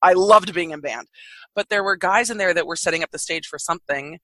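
Woman, 30s, low-voiced podcast guest; candid, lively, bright timbre, dry close mic. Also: brisk, no echo, rough recording. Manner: open, frank, lively. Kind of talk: candid conversational storytelling.

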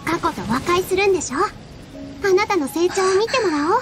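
A woman's voice: very high-pitched, moderate pace, faint music behind. A woman voicing a kind, encouraging young dancer with a polite, girlish manner in a Japanese game dub. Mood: gentle encouragement. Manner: soft-hearted, polite, girlish.

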